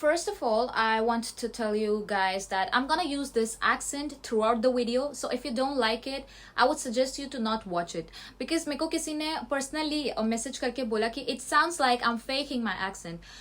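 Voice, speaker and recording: A woman's voice: on the high side, drawn-out words, fairly bright tone. A young woman from India talking casually to her channel, switching between Hindi and English as she explains and answers questions. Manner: mixes Hindi and English, explanatory, slightly defensive. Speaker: student vlogger. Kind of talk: casual vlog Q&A.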